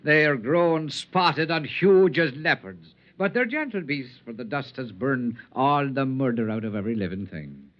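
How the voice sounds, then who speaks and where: A man's voice: medium pitch, big pitch swings, normal speed, few pauses, close to an everyday voice. A dull, lo-fi vintage radio recording of a man acting a whimsical outcast tramp. His delivery swoops widely, full of florid, theatrical lilt, like a born poetic storyteller. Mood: whimsical, eager. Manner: lilting, florid, theatrical speech. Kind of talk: old-time radio drama acting.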